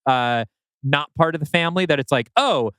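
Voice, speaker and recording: A man co-hosting a podcast, casually critiquing a story and acting out imagined quotes in an animated way. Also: a little high, normal speed, smooth, no room sound.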